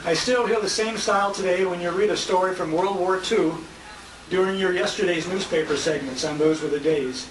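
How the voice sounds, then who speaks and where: A man's voice: high-pitched, fairly quick. An older American man hosting a dinner as emcee, giving a live tribute speech in a restaurant banquet room. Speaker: emcee and radio show host. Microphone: distant handheld compact camera. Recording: echoey, noisy handheld camera from far off.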